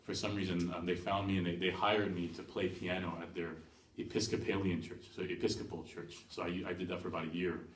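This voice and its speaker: Deep-voiced man, halting church testimony. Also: level tone, slight background noise. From Taiwan. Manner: hesitant, many ums and restarts. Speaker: church member giving testimony. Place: church hall. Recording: echoey room from far off.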